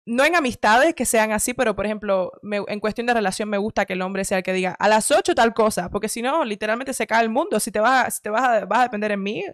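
A woman in her thirties with a light Cuban accent, giving quick, chatty, opinionated commentary into a studio mic at home. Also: medium pitch, dry recording. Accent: light Cuban Spanish. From Cuba. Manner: quick, chatty, opinionated, frequent self-corrections. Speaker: host reacting to clips. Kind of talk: casual commentary. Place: home studio room with shelves. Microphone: headset with studio mic on arm.

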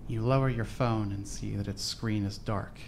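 Low, even-toned male narrator. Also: normal speed, background noise. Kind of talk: scripted second-person drama narration.

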